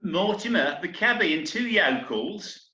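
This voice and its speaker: Earnest, slightly flustered theatre compere, acted by a man, bright and high-pitched, roomy lo-fi sound. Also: expressive, unhurried, heavily acted. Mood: earnest, announcing. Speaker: amateur actor in a remote group reading. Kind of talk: comic stage-play reading, addressing the audience. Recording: echoey, dull internet call recording.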